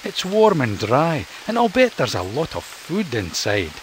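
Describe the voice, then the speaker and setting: A man's voice: on the high side, wildly swooping pitch, unhurried, a little hiss or hum, pitched above the performer's own voice. A man voicing a bossy, cautious young male raccoon who talks in an urgent, coaxing way, in a storybook reading. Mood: persuasive.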